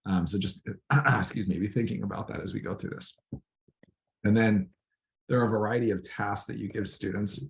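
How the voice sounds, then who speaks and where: A man with a raspy, hoarse voice giving a recorded lecture to student teachers, clearing his throat now and then. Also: lowish voice, fairly even intonation. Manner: clears throat often, measured explaining tone. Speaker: teacher educator.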